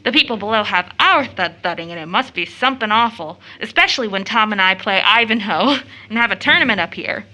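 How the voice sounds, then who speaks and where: A woman's voice: mid-range voice, animated, fairly quick, hardly any pauses, sharp tone, low-quality recording. An actress playing an eager, tender-hearted teenage schoolgirl in an audio drama, chatty, girlish and earnest. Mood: bubbly, chatty. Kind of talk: stage-play audio drama acting.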